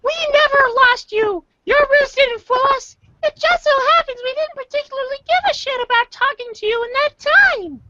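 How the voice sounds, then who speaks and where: A woman's voice: extremely high pitch, moderate pace, hardly any pauses. A woman voicing an irate, condescending, foul-mouthed young male alien troll in an amateur reader's theater, ranting in exasperation. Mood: shouting, scornful. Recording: dull lo-fi home recording, faint noise.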